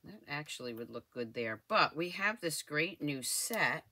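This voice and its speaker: A woman's voice: low voice, animated, unhurried, pushed and bright, no room sound. An older woman hosting a crafting channel, chatting and thinking aloud as she works at her craft desk. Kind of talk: casual crafting tutorial voice-over.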